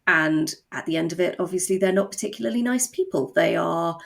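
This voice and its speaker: Creaky, low-voiced woman explaining her work. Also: brisk. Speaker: guest, audio drama co-creator. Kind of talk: conversational interview explanation.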